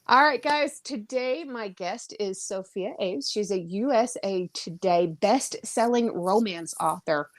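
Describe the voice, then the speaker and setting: A woman's voice: medium pitch, a little slow, few pauses. A woman hosting a podcast interview, enthusiastic and bubbly, laughing often. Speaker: podcast host. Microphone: audio-only call.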